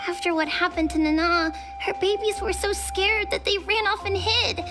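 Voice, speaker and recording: A woman's voice: very high-pitched, steady tone, moderate pace. A woman voicing an earnest, worried young child in a video game, with a sincere, childlike delivery. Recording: game audio with music behind.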